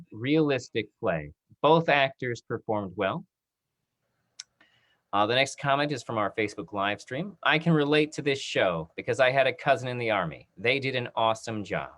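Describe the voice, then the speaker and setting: A man's voice: mid-range voice, animated, normal speed, fairly bright tone. A man moderating a panel talk, putting questions to guests and reading out comments.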